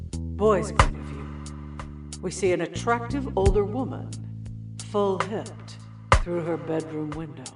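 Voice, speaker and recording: An actress in an audio play voicing a sultry inner voice that narrates a lurid screenplay in a deliberate, sensual manner. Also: female voice, on the low side, heavily acted. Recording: distant voice under loud music.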